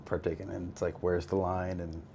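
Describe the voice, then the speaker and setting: Host, male, deep, easygoing and reflective, slight background hiss. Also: a little fast. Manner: relaxed, reflective, many fillers. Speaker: podcast host. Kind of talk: faith-based recovery conversation.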